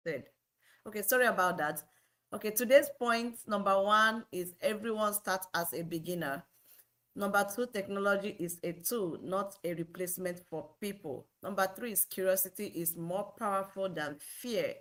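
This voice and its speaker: A woman from Nigeria co-presenting a live online talk over a video call, reading points in an earnest, steady way. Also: medium pitch, normal speed.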